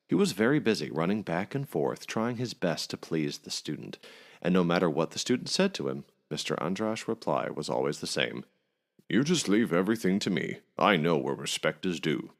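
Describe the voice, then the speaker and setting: A man narrating a scripted folk tale aloud as a podcast storyteller. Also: low-pitched.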